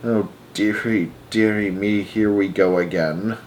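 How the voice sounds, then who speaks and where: A man voicing a polite, unflappable and eccentric gentleman in a storybook, formal and a little exasperated. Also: mid-range voice, normal speed, a little hiss or hum, close to an everyday voice, rough recording. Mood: weary dismay. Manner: courteous, formal, sighing.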